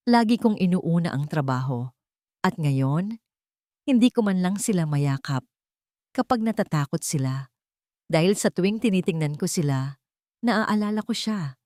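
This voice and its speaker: A woman with a Filipino accent voicing a grieving, guilt-ridden widowed father in an audio drama, in a hushed, halting manner. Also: on the low side, expressive, dry recording, studio quality, lightly acted. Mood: sorrowful, guilty.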